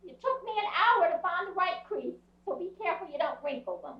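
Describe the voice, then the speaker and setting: Shrill naggy middle-aged wife, old radio comedy, really high voice, whiny and sarcastic, echoey. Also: female voice, lively intonation, a little fast, distant mic. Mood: bossy. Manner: scolding, whining, sarcastic. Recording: dull, echoey old radio recording, off-mic.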